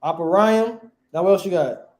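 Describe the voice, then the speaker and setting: A man's voice: sits high, moderate pace, darkish tone, echoey room. A man teaching a class, quizzing his students and repeating key words.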